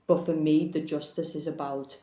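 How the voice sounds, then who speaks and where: Frank, plain-spoken female tarot reader, mellow tone, roomy recording. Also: low voice, flat delivery, normal speed. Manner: blunt, frank, swears casually. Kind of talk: casual tarot reading to camera.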